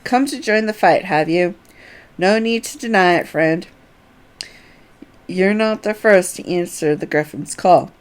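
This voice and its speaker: A woman's voice: lowish voice, measured pace, pauses often, clean tone, close to an everyday voice. A woman voicing a welcoming, fervent townsperson in a fantasy game, earnest and familiar in manner.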